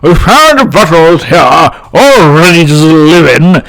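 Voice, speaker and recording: A man's voice: a little high, big pitch swings, fairly bright tone, voice pushed up, low-quality recording. A man voicing a very aged, genteel male bluebottle fly, talking with a finger between his lips to make a buzzing lisp and drawing out his words. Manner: slow, drawn-out delivery.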